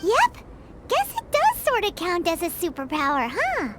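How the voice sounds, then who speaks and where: A woman voicing a small, cheeky, excitable childlike fairy companion in a video game, with a chirpy, cutesy, expressive delivery. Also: very high-pitched, unhurried, heavy background noise, heavily acted. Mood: pleased.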